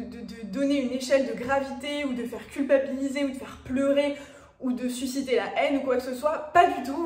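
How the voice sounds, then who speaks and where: Chatty Parisian young woman, high voice. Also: echoey room, recorded from a distance. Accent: Parisian French. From France. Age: 20s. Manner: chatty, direct address to viewers, frequent fillers. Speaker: YouTuber. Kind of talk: casual personal vlog talk.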